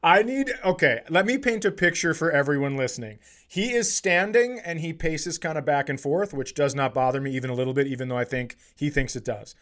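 Teasing man with a higher-pitched voice. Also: animated, brisk, few pauses, fairly bright tone. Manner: teasing, goading. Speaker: comedy podcast co-host. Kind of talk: casual comedic banter.

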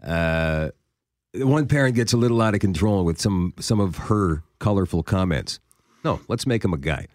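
A man's voice: sits low, lively intonation, moderate pace, no room sound, clean studio sound. A Canadian man hosting a casual talk show, setting up scenarios and quizzing his co-hosts. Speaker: host. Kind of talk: casual radio chat.

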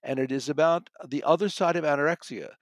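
A man talking conversationally about books as a reviewer, thoughtful and halting, often repeating a word as he goes. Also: a little high, dry recording, clean studio sound. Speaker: audiobook reviewer and guest critic. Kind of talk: conversational book discussion.

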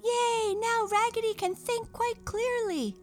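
A woman voicing eager, excitable little dolls who exclaim and echo in a children's storybook. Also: very high voice, slow, faint music behind, voice pushed up. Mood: excited.

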